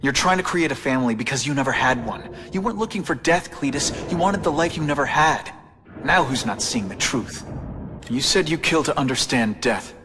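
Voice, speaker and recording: A man's voice: mid-range voice, animated, brisk, background noise, close to an everyday voice. An actor playing a young male superhero in a video game, earnest and reproving with dry wisecracks. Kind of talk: video game hero voice acting.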